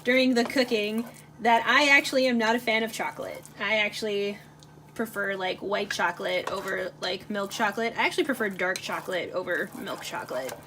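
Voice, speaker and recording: A woman hosting a casual home cooking video, chatting with lots of fillers as she stirs a pot. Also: medium pitch. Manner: chatty, many fillers, talks while stirring. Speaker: cooking channel host. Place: home kitchen. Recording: audible kitchen noise. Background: frying and stirring sounds.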